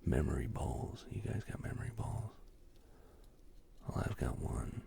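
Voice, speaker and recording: A man hosting a casual, rambling show-and-tell in a soft, gravelly ASMR whisper, with slangy asides. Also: very deep voice, sing-song, measured pace, slight background noise. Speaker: host.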